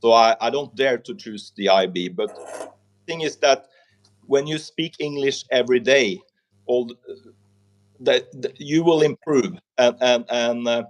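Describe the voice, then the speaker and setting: A middle-aged Norwegian man speaks accented English as an interview guest. His voice sits at an ordinary pitch. He talks haltingly, repeating words and restarting often. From Norway. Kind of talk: conversational interview.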